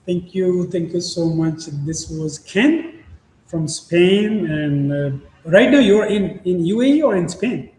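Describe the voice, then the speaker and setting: Halting high-voiced male host, echoey room. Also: lively intonation, a little mellow. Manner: halting, many restarts and fillers, laughs often. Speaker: podcast host. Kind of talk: casual interview chat. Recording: echoey room with faint ambient noise.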